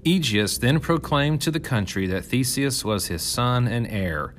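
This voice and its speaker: A man's voice: mid-range voice, expressive, a little slow, faint music behind. A man reading an ancient myth aloud as scripted storytelling narration.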